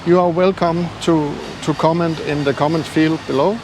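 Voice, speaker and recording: An older man with a non-native English accent, talking casually and haltingly to viewers in a self-deprecating way as a travel vlogger. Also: on the high side, steady tone. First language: not English. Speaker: travel YouTuber. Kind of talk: casual vlog talk to camera. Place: outdoors. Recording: noisy outdoor street ambience with traffic.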